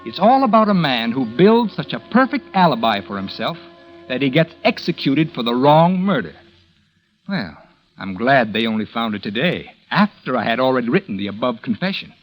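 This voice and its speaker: An actor playing a calculating murderer who gives a dry, sardonic confession in a 1940s radio drama. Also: male voice, medium pitch, sing-song, moderate pace, natural voice, rough recording. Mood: wry. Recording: old radio broadcast with faint music.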